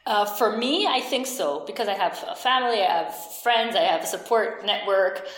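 A woman's voice: mid-range voice, animated, normal speed, fairly bright tone. Hesitant woman in a roomy space. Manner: hesitant, many restarts and fillers. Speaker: interviewee. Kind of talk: casual conversation.

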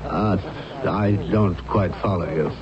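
An actor playing a composed, skeptical psychiatrist in a 1970s radio drama, questioning in a dry, measured manner. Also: male voice, deep voice, a crowd in the background. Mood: probing. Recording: muffled, noisy old radio broadcast.

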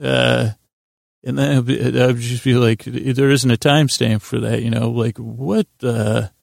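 A man hosting a sleep podcast in lulling, soothing tones, meandering and halting through a gently self-deprecating monologue. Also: mid-range voice, steady tone, creaky, no room sound, studio quality. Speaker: podcast host.

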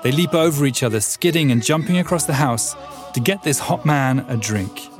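A man's voice: medium pitch, lively intonation, normal speed, soft background music. A man hosting a podcast, narrating a scripted intro and then asking questions conversationally with fillers. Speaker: podcast host.

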